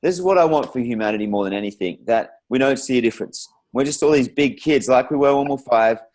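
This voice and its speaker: A man's voice: mid-range voice, fast, darkish tone. A man hosting a conversational interview in an informal, rambling, encouraging way. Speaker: interview host.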